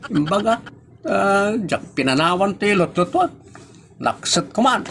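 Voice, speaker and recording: Bossy, stubborn Ilocano man, acted by a man, high voice, very animated, comedy drama. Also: unhurried, gravelly, slight background noise, heavily acted. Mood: insistent.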